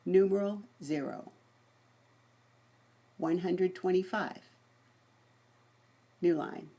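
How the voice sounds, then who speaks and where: A woman presents a software demonstration in an informal way. Her voice sits a little low and has a distinctly husky, unpolished grain. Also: slow pace. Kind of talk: dictating voice commands to software.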